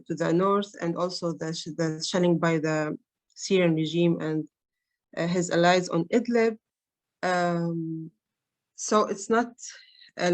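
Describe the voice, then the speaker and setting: Hesitant woman. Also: lowish voice. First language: Arabic. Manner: hesitant, many fillers and restarts. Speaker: researcher on a panel. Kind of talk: conference roundtable remarks.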